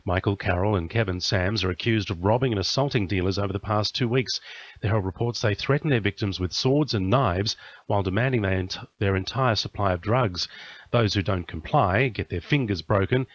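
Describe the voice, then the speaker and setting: A man's voice: lowish voice, fairly quick. A man with an Australian accent reading a scripted radio news bulletin. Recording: dull lo-fi radio audio.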